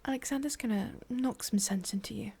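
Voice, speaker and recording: A woman with an English accent playing a cruel, condescending female boss in an ASMR roleplay, murmuring with drawn-out pauses. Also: a little high, vocal fry, darkish tone, husky. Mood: condescending, controlled.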